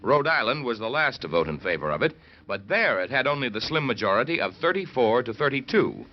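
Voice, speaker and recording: A man's voice: medium pitch, very animated, a little fast. An American man giving scripted historical narration in an earnest, rhetorical way. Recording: muffled old radio recording, faint noise.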